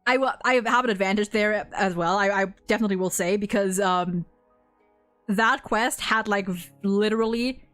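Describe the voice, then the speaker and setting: A woman livestream host chatting casually and excitably, rambling as she goes. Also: mid-range voice, moderate pace, faint music behind. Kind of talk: casual chat.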